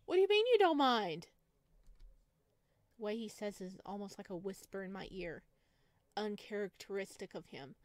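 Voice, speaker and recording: A woman streaming a visual novel, reading aloud and reacting in a playful, dramatic, expressive way. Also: mid-range voice, moderate pace, pauses often, no echo. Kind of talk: let's play, reading game narration aloud with reactions. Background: game music.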